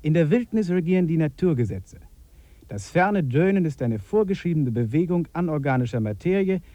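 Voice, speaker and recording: Mellow, dry-toned sceptical scientist played by a man. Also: fairly high pitch, lively intonation, a little fast, no echo, highs cut off, natural voice. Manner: dry, logical, gently mocking. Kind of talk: 1950s German radio drama acting.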